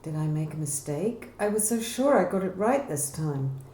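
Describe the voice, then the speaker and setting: A woman's voice: low voice, lively intonation, moderate pace, dark tone. A woman voicing an eager, anxious sixteen-year-old girl opera student in a novel reading. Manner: eager, urgent, easily mortified. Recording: roomy echo, faint background noise.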